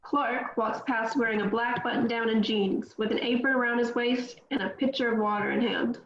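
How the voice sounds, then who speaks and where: A woman reading stage directions in a steady, matter-of-fact way at a table read. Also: on the high side, moderate pace. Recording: echoey, dull, faint noise.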